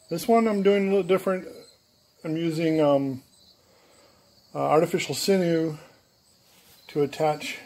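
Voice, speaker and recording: A relaxed man talks through his woodworking project in a plain, unhurried voice, often pausing with ums and uhs. His voice sits at an ordinary middle pitch. Faint outdoor hiss and crickets are heard behind him, as if recorded in a home garage on a handheld camera. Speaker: woodworking hobbyist filming his canoe build. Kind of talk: casual, unscripted workshop vlog.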